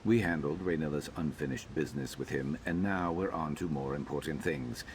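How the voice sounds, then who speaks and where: Extremely deep, gruff lizard-man companion. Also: a little hiss or hum. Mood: guarded, matter-of-fact. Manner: dry, blunt, measured. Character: gruff Argonian lizard man, freed slave, dry guarded companion. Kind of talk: video game character dialogue.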